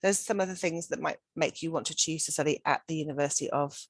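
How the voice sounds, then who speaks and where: Low-voiced woman pitching a study program. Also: quick pace, breathy edge, no room sound. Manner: upbeat and persuasive, with frequent restarts. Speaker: study-abroad centre director. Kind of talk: promotional info-session presentation.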